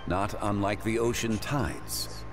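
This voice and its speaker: A man voicing a composed, ancient gentleman sage in a video game, formal, courteous and measured. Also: lowish voice, music in the background. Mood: solemn, reflective.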